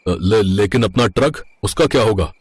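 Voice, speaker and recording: A man voicing an honest, gruff truck driver and cook who talks plainly and firmly in a moral story cartoon. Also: on the low side, animated, normal speed, no room sound. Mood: hesitant. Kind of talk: animated moral story dialogue.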